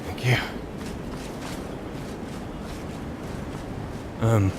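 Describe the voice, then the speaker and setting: A man's voice: moderate pace, background noise, natural voice. A man playing a wry, grumbling adventurer in a fantasy video game roleplay, musing to himself in a hesitant, politely ironic way. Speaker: roleplaying game player and narrator. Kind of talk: in-character improvised gameplay commentary.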